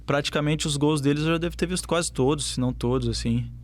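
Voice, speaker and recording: A man's voice: a little high, fast. Hesitant young southern Brazilian man. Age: young adult. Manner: hesitant, many fillers and restarts. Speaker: footballer guest. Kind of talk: casual interview answers.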